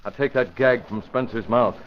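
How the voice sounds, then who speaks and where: A man's voice: mid-range voice, normal speed, natural voice. Guy as tough radio vigilante hero, barking curt orders, old muffled recording with some hiss. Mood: stern, threatening. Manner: curt, threatening, commanding. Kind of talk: 1940s radio crime drama acting.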